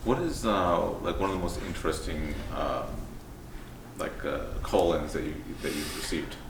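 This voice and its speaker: Casual male interviewer, low voice, informal hesitant questions, recorded off-mic with noticeable noise. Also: lively intonation, normal speed. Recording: echoey, distant mic, clear background noise.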